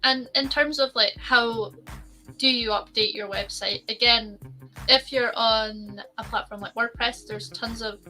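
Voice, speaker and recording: Female co-host, Scottish accent, bright tense tone, light music behind. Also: fairly high pitch, fairly even intonation, measured pace, low-quality recording. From Scotland. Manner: chatty, laughs easily. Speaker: co-host, designer. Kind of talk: casual business podcast chat.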